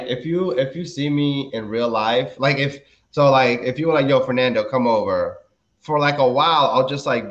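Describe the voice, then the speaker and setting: A man's voice: medium pitch, a bit bright. Laid-back rambling man, lo-fi sound. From DMV area, US. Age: 30s. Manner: laid-back, rambling, many fillers and restarts. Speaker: guest comedian. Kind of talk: casual podcast chat.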